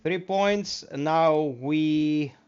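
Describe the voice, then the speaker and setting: A Greek-accented man, a native speaker of Greek, chats in a friendly, relaxed way while he streams a game. His voice sits a bit high, and the mic sounds slightly muffled. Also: very slow, clear, resonant tone. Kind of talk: casual gameplay commentary.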